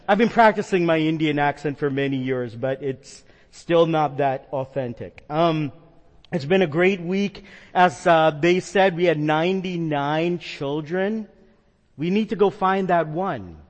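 A man's voice: fairly high pitch, unhurried, in a large hall. A man from the New York metro area preaching a sermon as a pastor, earnest and conversational with some fillers. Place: church sanctuary.

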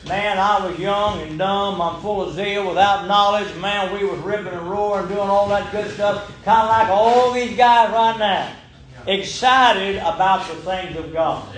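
A man's voice: high-pitched, fairly even intonation, unhurried. A man, preaching a folksy sermon and calling out for amens. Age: 70s. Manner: folksy, emphatic, calls for amens. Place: church sanctuary. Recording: very echoey church room.

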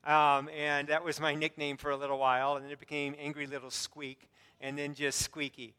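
A man preaching from the pulpit, his voice a touch high and squeaky, bright and clear. He talks earnestly with bits of self-deprecating humor, stumbling over fillers and restarts. The sound carries a long reverb from the hall. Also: monotone, a little fast. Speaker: pastor. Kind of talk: sermon.

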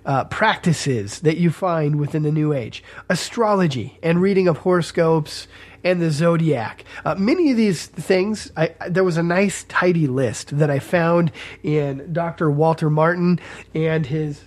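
Male podcast host with a head cold, a touch high-voiced, congested and chatty. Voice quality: congested, scratchy throat. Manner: casual and chatty, coughs and pauses. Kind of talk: teaching podcast monologue.

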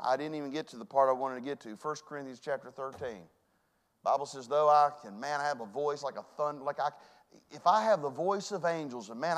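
A man preaching a sermon in church, swinging between loud calls and hushed, earnest asides. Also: fairly high pitch. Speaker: pastor.

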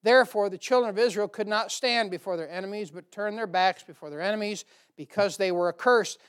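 High-voiced American preacher, clear and smooth. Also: male voice, a little fast. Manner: informal, folksy asides to the congregation. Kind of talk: church sermon with Bible reading.

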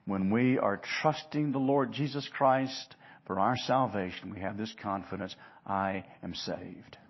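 Male preacher, husky mid-pitched voice, earnest, emphatic, dynamic from hushed to loud, repeats phrases, slightly muffled recording. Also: measured pace. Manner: rhetorical questions and repeated phrases. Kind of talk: expository sermon.

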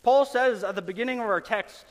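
A man preaching a sermon as pastor, earnest and emphatic, with dramatic pauses. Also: very high-pitched, big-room sound.

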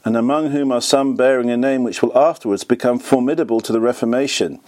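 A man reads a history book aloud at a steady, measured pace. His voice is slightly hoarse and sits at an ordinary middle pitch. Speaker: reader.

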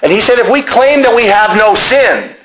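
A man's voice: high voice, animated, normal speed, fairly bright tone, poor audio. A man preaching a sermon, explaining to listeners directly and repeating key phrases for emphasis.

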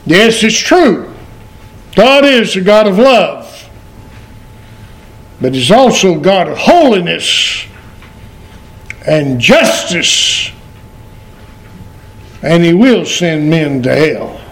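Old man in his 80s preaching slow and high, sounds far off in a super echoey church. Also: sing-song, fairly bright tone. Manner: preaching with slow emphatic phrases and quoted scripture. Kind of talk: sermon. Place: church sanctuary.

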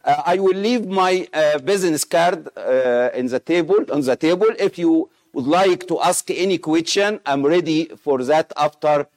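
A middle-aged man from Egypt, Arabic-speaking, with an Egyptian accent, preaching and praying in halting English with many pauses and fillers. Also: on the high side, darkish tone. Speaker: missionary preacher. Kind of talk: sermon with scripture reading and prayer.

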